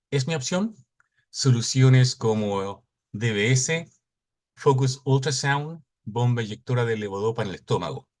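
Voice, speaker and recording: A middle-aged man from Chile, giving a formal welcome speech and reading out a conference agenda in a measured, announcing way. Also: mid-range voice, darkish tone. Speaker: conference cofounder and opening speaker.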